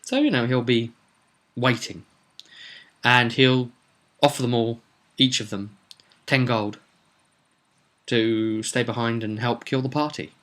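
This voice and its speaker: A man's voice: mid-range voice, fairly even intonation, slow, long pauses, fairly bright tone. A man hosting a casual solo vlog as a game master, explaining his plans for a tabletop game in an emphatic way.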